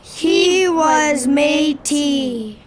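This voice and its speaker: A child of about nine or ten reading scripted narration for a radio drama, carefully and deliberately. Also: high voice, a loud crowd. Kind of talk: scripted narration, read-aloud storytelling. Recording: music behind the voice. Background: loud music.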